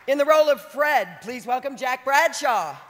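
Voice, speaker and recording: A woman's voice: sits high, animated, moderate pace. Woman at a podium mic calling out cast names, long hall echo, crowd noise behind. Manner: calls out names with emphasis. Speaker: stage announcer introducing the cast. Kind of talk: live event announcing. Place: large theater stage before a big audience. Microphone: podium mic on stand. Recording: echoey hall, audience noise. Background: crowd cheering.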